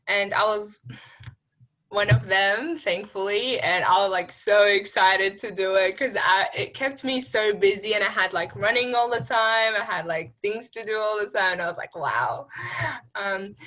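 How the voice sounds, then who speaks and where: Bright-voiced young woman, informal. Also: mid-range voice, low-quality recording. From born in Iraq, raised partly in Syria, moved to Australia. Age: young adult. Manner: informal, heavy use of "like". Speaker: program graduate, interviewee. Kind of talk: casual interview answers, personal story.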